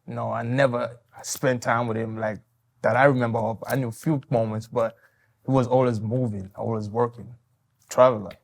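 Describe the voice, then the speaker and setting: A man in his twenties from Tanzania, answering interview questions politely, softly and with loose, hesitant phrasing. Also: medium pitch, fairly even intonation, normal speed, creaky. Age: 20s.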